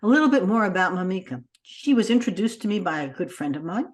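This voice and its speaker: A woman hosting a podcast, introducing her guest with an enthusiastic, expressive delivery. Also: medium pitch, fast talker. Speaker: podcast host.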